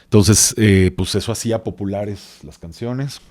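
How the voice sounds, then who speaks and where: A man's voice: on the low side, measured pace, a little mellow. A man in his forties with a Mexican accent, explaining things casually as a podcast guest, hesitating and wandering into asides. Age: 40s. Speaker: podcast guest, expert on radio history.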